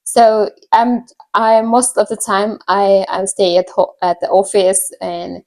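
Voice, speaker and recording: A woman's voice: medium pitch, level tone, slow, darkish tone. A woman from Indonesia with an Indonesian accent tells a personal story to viewers casually, often searching for words and repeating herself. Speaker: vlogger.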